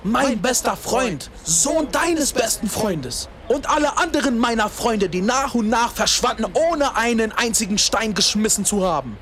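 A man's voice: very high-pitched, animated, unhurried, few pauses, a bit bright, a little hiss or hum, full character voice. An actor playing a bitter young man from an immigrant neighbourhood in a radio drama monologue, speaking in rhythmic short phrases with insistent repetition. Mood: bitter, intense. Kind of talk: literary radio drama monologue, spoken-word delivery.

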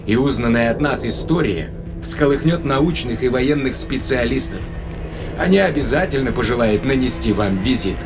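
A man's voice: medium pitch, expressive, moderate pace, natural voice. A man playing a skeptical, wary space traveler in an audio drama, dryly sardonic and questioning, with music behind him. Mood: skeptical, insistent.

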